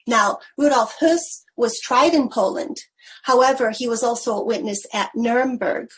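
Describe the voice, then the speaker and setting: A woman delivers an academic talk for an online webinar. Her voice sits a little high and has a breathy, husky edge. She speaks in a measured, careful way, pausing on fillers as she goes. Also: low-quality recording. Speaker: research fellow giving a webinar talk. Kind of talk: academic lecture.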